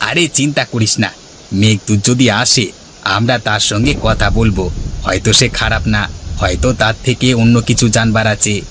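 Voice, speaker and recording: A man's voice: on the low side, normal speed, noisy background, close to an everyday voice. A man voicing a brave, curious village youngster in a cartoon, confident and reassuring. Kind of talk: cartoon character dialogue.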